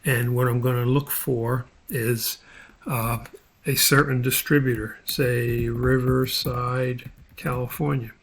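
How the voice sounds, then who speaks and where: Slow, gravelly man giving a tutorial. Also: medium pitch, flat delivery. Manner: thinking aloud, halting step-by-step explanation. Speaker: instructor. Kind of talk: spreadsheet tutorial narration, unscripted.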